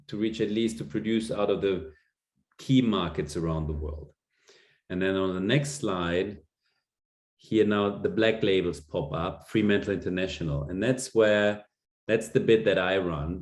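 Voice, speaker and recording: A man's voice: deep voice, darkish tone. A man with a German accent giving a masterclass presentation, hesitant with many ums and restarts. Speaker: lecturer. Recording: echoey room.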